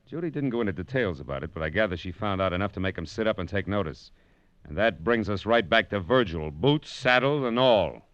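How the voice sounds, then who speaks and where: Fast-talking, low-voiced hard-boiled American detective. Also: male voice, animated, a bit bright, dry recording. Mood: brisk, insistent. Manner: dry, sardonic, slangy. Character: wisecracking, hard-boiled male private detective. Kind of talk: 1940s radio detective drama acting with first-person narration. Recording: dull, lo-fi vintage radio recording.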